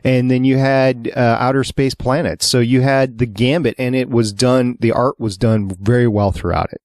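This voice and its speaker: A man's voice: medium pitch, steady tone, moderate pace, a little mellow, dry recording, studio quality. A man hosting a podcast, chatting casually with a panel. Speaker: podcast host.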